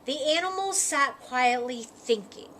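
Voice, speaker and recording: High, bright woman reading a picture book. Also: a little hiss or hum. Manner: expressive, deliberate storytelling. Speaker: story time reader. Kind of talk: scripted picture book narration for children.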